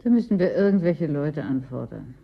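A weary, disgruntled woman official in a sci-fi radio drama. Her voice is very low, with a dry, resigned manner. The recording sounds muffled. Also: lively intonation, normal speed, vocal fry, slightly rough, heavily acted. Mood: weary, grumbling. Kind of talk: German science-fiction radio play acting. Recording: dull lo-fi radio play recording.

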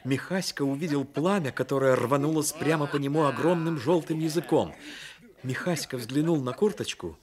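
A man narrating a radio play as a dramatic storyteller, measured and expressive. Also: on the high side, crowd noise.

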